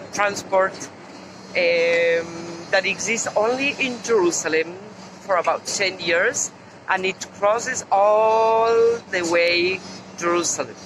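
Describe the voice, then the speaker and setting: A middle-aged woman from Argentina with an Argentine Spanish accent, guiding a walking tour outdoors and slowly pointing out sights. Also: mid-range voice. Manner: slow, explanatory, points out sights. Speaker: tour guide. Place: outdoors on city streets and a tram. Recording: loud street and traffic noise.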